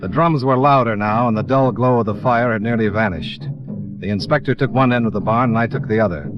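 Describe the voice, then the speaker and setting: An American man playing a terse, hard-boiled investigator in an old radio drama, dry and deliberate. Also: medium pitch, music in the background, close to an everyday voice. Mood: grim narrating. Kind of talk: 1950s radio narration and acting. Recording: dull old radio recording.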